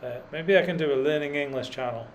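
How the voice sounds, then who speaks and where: A man's voice: fairly high pitch, quick pace, slight background noise. A middle-aged man talking in a dry, self-deprecating way with many fillers while cycling up a mountain road. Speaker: cycling vlogger. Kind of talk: rambling self-deprecating commentary while riding.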